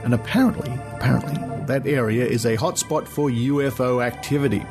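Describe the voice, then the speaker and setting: A man's voice: mid-range voice, fairly quick, over music. An Australian man hosting a podcast in a chatty, conversational way, given to rambling asides. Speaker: podcast host.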